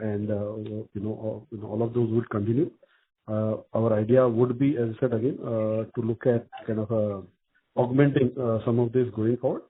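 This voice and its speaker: A man with an Indian accent answering as a company executive on an earnings call, speaking haltingly with many repeated filler phrases. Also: mid-range voice, slightly rough. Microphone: telephone conference call. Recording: muffled narrow phone line.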